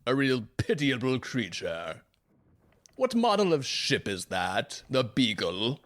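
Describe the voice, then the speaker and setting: A performer acts out a menacing ship's first mate for an audio drama. The speech is slow, pompous and antiquated, with pitch that moves around to intimidate. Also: big pitch swings, fairly bright tone. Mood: scornful. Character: menacing, archaic-talking first mate, fanatical method actor. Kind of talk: theatrical audio drama acting.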